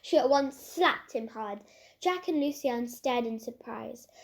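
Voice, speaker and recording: A woman reading a children's story aloud in an expressive narrating style. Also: fairly high pitch, measured pace, gravelly. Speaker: storybook reader.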